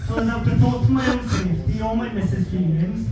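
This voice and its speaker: A young Welsh man doing stand-up, telling stories in a deadpan, sweary way. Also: very high-pitched, fairly quick, loud music behind the voice. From Wales. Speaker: stand-up comedian. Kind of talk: stand-up comedy set. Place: theatre stage with audience. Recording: echoey hall from far off, audience noise.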